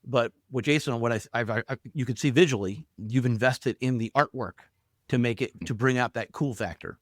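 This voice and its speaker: A man's voice: mid-range voice, normal speed, dry recording, clean studio sound. A man hosting a podcast, talking casually, restarting his words, and asking guests follow-up questions. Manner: conversational, repeats words, asks follow-up questions. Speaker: podcast host.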